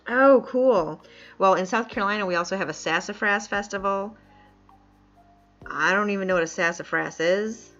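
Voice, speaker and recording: A woman's voice: mid-range voice, normal speed, a bit bright. A woman from upstate New York chatting casually while live-streaming a game, laughing often and reading chat aloud. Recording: dull lo-fi mic with faint music.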